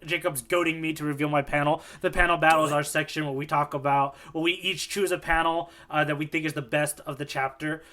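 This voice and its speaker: Male podcast co-host, a bit high-pitched, easygoing, bright voice. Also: fairly even intonation, fast talker, hardly any pauses. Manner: easygoing, agreeable, filler-heavy. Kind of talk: casual chat.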